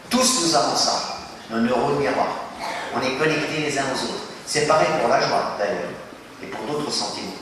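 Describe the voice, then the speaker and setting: A man's voice: medium pitch, a little hiss or hum. Man lecturing from far off in an echoey hall. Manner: animated, questions the audience, acts out little scenes. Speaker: speaker addressing students. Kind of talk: talk to a school audience.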